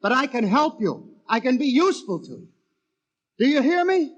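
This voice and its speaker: Haunted, intense clerk, swinging pitch. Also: male voice, big pitch swings, lo-fi sound, exaggerated voice. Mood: desperate, frantic. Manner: intense, theatrical, deliberate dramatic phrasing. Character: haunted, obsessive clerk Samson Gurney recounting a machine conspiracy. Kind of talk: 1950s radio drama first-person narration. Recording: vintage radio recording with music behind.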